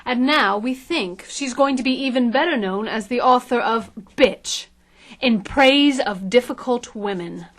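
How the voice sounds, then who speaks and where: A woman's voice: lively intonation, a little slow. A woman playing a polished, articulate media consultant and interviewer in a satirical audio drama. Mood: formal, probing. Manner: articulate, pitching. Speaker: actor.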